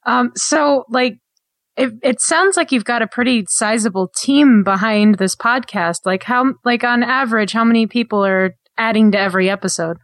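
A woman's voice: fairly high pitch, moderate pace, no room sound, clean studio sound. A woman co-hosting a podcast and asking questions in casual chat. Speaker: podcast interviewer, co-host.

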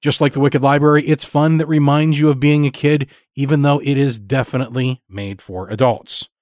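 A man's voice: mid-range voice, fairly even intonation, moderate pace, a little mellow, dry recording, clean studio sound. A man hosting a podcast, reading scripted narration and announcements. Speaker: podcast host.

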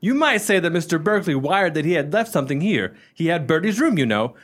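A man's voice: a little high, big pitch swings, fast talker. An actor playing an earnest, meddling boy in a radio play, eager and insistent as he tells tales.

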